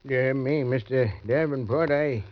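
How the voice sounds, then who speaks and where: An actor playing a timid, fussy little gentleman who hesitates and stammers, in an old-time radio drama. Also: mid-range voice, unhurried, lightly acted. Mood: anxious dithering. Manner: hesitant, fretful, mumbling, stammering. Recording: dull, dry vintage radio recording.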